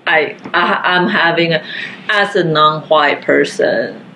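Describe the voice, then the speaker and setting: A woman from Taiwan, speaking haltingly and earnestly as a guest in a casual living-room discussion. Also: sits low, vocal fry, bright, forceful tone, a little hiss or hum, poor audio. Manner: slow, halting, earnest, frequent fillers. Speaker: podcast guest.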